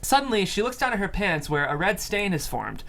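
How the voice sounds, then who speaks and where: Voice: medium pitch, sing-song, brisk, gravelly, a bit bright. A person reading stage directions aloud at a screenplay table read.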